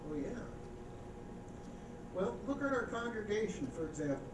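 Pastor preaching in an echoey church. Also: male voice, high-pitched, very animated, normal speed. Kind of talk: sermon. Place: church sanctuary. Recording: echoey church recording from far off with background noise.

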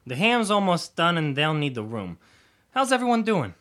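A man's voice: on the high side, sing-song, moderate pace, fairly bright tone. A man playing an easygoing, wisecracking husband and father in a comedy audio drama, casual and dryly joking. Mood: wry.

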